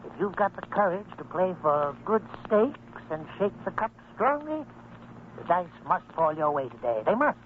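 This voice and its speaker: A man's voice: high-pitched, sing-song, moderate pace. A man acting a pushy, wheedling gambler in an old-time radio drama, insistent and persuasive. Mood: goading. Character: pushy, wheedling male gambler tempting a friend. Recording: tinny, noisy old radio recording.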